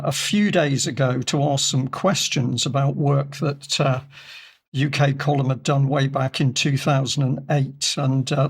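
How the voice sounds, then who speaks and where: A man with a croaky, gravelly voice talks through a news story in a studio. He is slow and measured, with lots of hesitant fillers and a husky rasp. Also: medium pitch. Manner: measured, deliberate, many fillers. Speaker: regular commentator. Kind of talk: discussion commentary reading from articles.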